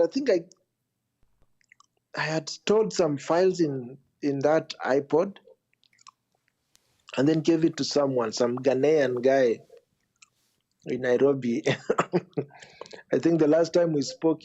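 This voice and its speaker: A man in a casual podcast chat, telling a story in a halting, rambling way. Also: on the high side, mellow, lo-fi sound.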